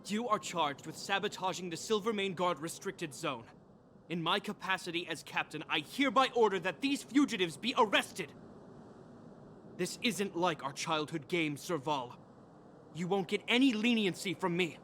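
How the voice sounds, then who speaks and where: A man's voice: high voice, animated, moderate pace, a bit bright, a little hiss or hum. A man playing a dutiful young guard captain in a video game, speaking in a formal, commanding, grave way. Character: stern, dutiful young male guard captain, wounded but unyielding. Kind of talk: dramatic video game voice acting. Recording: game music under the voice.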